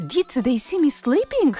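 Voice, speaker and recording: A woman's voice: sits high, warm tone, exaggerated voice. A woman playing a domineering, easily outraged old stepmother in a cartoon fairy tale. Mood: shocked.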